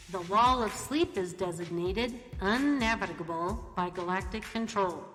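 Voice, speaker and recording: A woman voicing a polite, formal automated space traffic control announcer in a radio play, delivering measured courtesy warnings. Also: medium pitch, a bit bright, lightly acted. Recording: long hall reverb, faint music.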